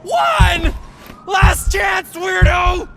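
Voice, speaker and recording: An actor playing a panicked, volatile criminal hostage-taker in a video game, shouting frantic threats and taunts. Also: male voice, very high-pitched, very animated, drawn-out words, gravelly, loud music behind the voice, full character voice. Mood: desperate rage.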